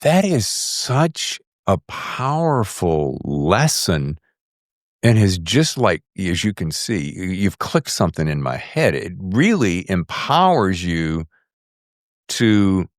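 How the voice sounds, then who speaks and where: A man's voice: low voice, no echo, clean studio sound. A man hosting a conversational podcast interview, effusive, with rambling, winding questions. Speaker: podcast host.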